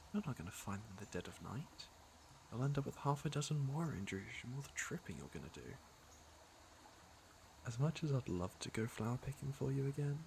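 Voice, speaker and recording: A man's voice: mid-range voice, a little hiss or hum. A man playing a teasing, flirtatious fantasy villain with a caring side, in an intimate ASMR roleplay. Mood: concerned, gentle. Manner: smooth-talking, teasing, intimate. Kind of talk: ASMR roleplay acting, intimate second-person address.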